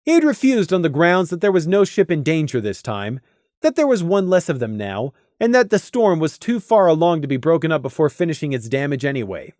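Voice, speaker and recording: A man reading a scripted story as a narrator, deliberate and expressive, with dramatic emphasis. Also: a little high, dry recording, clean studio sound. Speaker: audiobook narrator.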